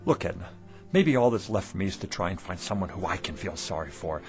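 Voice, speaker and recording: A man's voice: low-pitched, expressive, fast talker, faint music behind. A man acting in a radio drama as a weary, stubborn, embittered shopkeeper husband, plain-spoken and emphatic. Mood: bitter, despairing.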